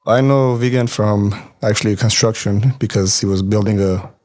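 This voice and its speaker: A man in his forties who was born in Armenia speaks casually as a podcast guest. His voice is low, dark and very relaxed. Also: normal speed. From Armenia, then Los Angeles from age twelve. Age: 40s. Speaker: podcast guest, nonprofit board member. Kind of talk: casual conversational interview.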